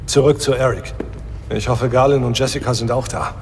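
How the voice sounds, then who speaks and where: A man's voice: medium pitch, steady tone, a little fast, slight background noise, lightly acted. A man playing a defiant sci-fi hero. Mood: commanding. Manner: angry and defiant. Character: Rick, hot-headed young hero. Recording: long reverb.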